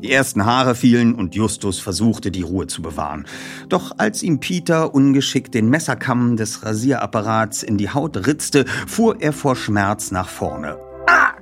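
A man reading a youth detective story as a scripted audiobook narration, acting it out in an animated way. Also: medium pitch, moderate pace, faint music behind. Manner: animated, acts out the dialogue.

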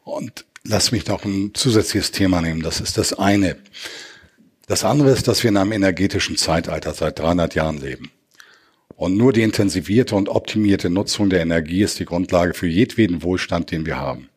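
Middle aged north guy, really low husky voice, debating with emphatic rhetorical phrasing. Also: a little fast. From Hamburg, northern Germany. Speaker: economic expert, panel guest. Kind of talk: impassioned debate argument.

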